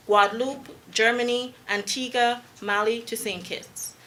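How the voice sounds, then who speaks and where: A woman reading a prepared, formal introduction of a lecturer, measured and trailing off at the ends of her lines. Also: on the high side, sharp tone, slight background noise. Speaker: presenter introducing the speaker.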